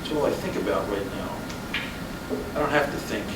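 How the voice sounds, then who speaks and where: A man playing a weary husband in his fifties in a staged play reading, hesitant, halting and defensive. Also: lowish voice, distant mic, natural voice. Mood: weary doubt. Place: roomy hall, staged reading at a college. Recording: noisy, echoey stage recording from afar.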